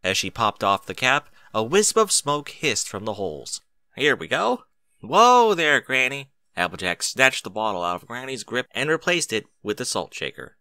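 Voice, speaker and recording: A man narrating a story in an expressive audiobook style. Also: lowish voice, moderate pace, few pauses, no echo.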